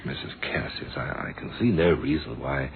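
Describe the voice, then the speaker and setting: A man acting a courteous, probing police inspector in a radio mystery drama, formal and measured, firm but humane. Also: extremely low pitch, creaky. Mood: gentle, regretful. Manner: formal, measured, polite questioning. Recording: muffled, noisy old radio drama recording.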